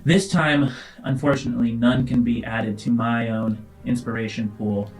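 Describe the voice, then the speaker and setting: A man's voice: mid-range voice, steady tone, normal speed, low-quality recording. Chatty man hosting over faint music. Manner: chatty, informal, addressing listeners directly. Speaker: host and game master. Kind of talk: casual podcast talk and tabletop game narration.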